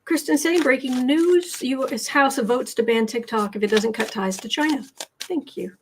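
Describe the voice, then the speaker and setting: An American woman hosting a casual tarot livestream, chatting haltingly as she comments and reads out viewer messages, often repeating her words. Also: high-pitched, slight background noise. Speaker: host of a live tarot and astrology show.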